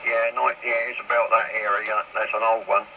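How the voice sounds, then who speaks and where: A man's voice: fairly low pitch, little pitch movement, normal speed. A man chatting casually over CB radio. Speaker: CB radio hobbyist. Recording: noisy, crackly CB radio.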